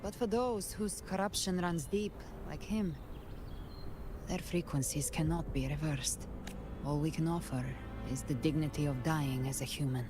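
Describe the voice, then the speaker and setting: An actress playing a grave, resolute adviser in a scripted game drama, speaking formally and with measured gravity. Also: female voice, sits low, close to an everyday voice. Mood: grave, solemn. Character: solemn, resolute woman, grave knowing adviser. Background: music.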